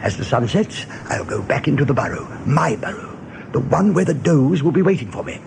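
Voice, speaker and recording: A man playing a brave, urgent rabbit captain in a 1980s radio play, intense and persuasive, with a husky, breathy voice. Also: on the high side, sing-song, a little fast, noisy background. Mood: tense, urgent. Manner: intense, persuasive, often hushed.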